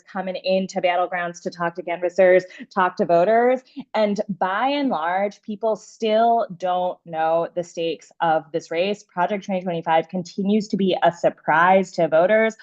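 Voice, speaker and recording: A woman whose first language is Bengali, a campaign organizer giving an enthusiastic talk on an organizing call. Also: on the low side. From Bangladesh. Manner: fast, enthusiastic, upbeat talk with restarts. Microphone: video or phone call. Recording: dull lo-fi call audio.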